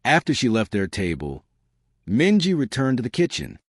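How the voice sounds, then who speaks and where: A man's voice: normal speed, no room sound, clean studio sound. A man narrating a scripted story, lifting his voice for the characters' lines. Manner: lively storytelling, shifting pitch for quoted characters.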